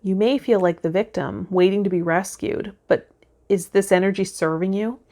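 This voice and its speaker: A woman's voice: mid-range voice, fairly even intonation, normal speed, warm tone. A woman hosting a spiritual vlog, giving tarot guidance and speaking directly to her viewers. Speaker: tarot vlog host and healer. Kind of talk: spiritual guidance, part read aloud, part casual talk to viewers.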